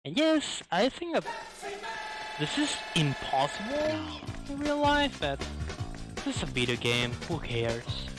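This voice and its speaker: Male speedrunner commentating over loud game music. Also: high voice, sing-song, a little slow, poor audio. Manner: non-native English phrasing, playful asides. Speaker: speedrunner commentating own run. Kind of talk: live speedrun commentary, casual. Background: loud video game music.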